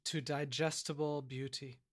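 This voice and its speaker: A man's voice: on the high side, flat delivery. A man playing an earnest, grandiose small-town island mayor in an improvised audio comedy, speaking with mock-solemn sincerity. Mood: solemn, grateful. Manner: halting, rambling, sincere, drawn-out words.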